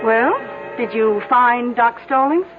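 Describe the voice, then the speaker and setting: A woman's voice: a little high, takes it slowly. An actress playing a plainspoken, chatty small-town innkeeper who needles her guest in an old-time radio drama. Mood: teasing, needling. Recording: tinny vintage radio recording, background music.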